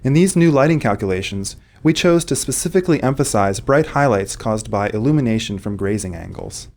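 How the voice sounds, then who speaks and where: Measured man giving scripted developer commentary. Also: on the low side, expressive, creaky, darkish tone. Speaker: game developer.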